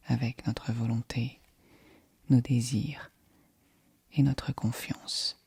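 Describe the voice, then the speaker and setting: A woman guiding a yoga nidra meditation in a soft, breathy, whispery voice, calm and soothing. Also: extremely low pitch, no room sound. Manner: calm, soothing, measured delivery. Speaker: meditation guide.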